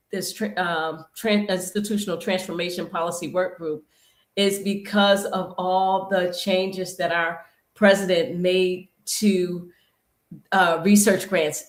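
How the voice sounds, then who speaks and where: Rambling woman guest in echoey room. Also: mid-range voice. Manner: rambling, with many restarts. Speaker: guest fitness professional. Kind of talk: live-stream conversation.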